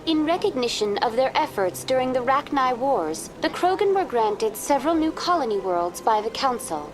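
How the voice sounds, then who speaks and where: A high, courteous female guide program for a sci-fi video game. She delivers information in measured, formal phrases and stays impersonal and unflappable. Music plays clearly behind her. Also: big pitch swings. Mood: politely informative.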